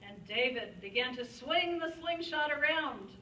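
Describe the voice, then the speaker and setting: A woman's voice: high-pitched, animated, moderate pace. A woman narrating a puppet show in a storytelling style. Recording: echoey room, distant mic, clear noise.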